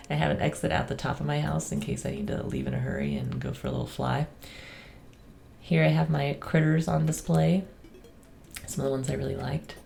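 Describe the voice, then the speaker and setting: A woman with a raspy voice, getting over a cold, casually touring her game world in a relaxed, chatty voice-over with soft ums. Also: low-pitched, moderate pace, pauses often, a little hiss or hum. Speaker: gaming channel host.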